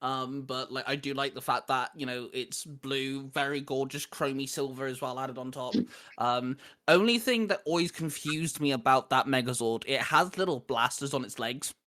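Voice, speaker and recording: A man's voice: medium pitch, normal speed, pushed and bright. A man hosting a casual top-list chat, talking in an enthusiastic, emphatic way. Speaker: video host.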